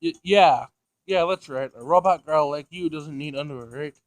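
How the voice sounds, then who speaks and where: A man's voice: a little high, moderate pace, no echo, close to an everyday voice. A man voicing a shameless, irritable pervert of a dog owner in a visual novel, scolding and exclaiming. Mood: leering.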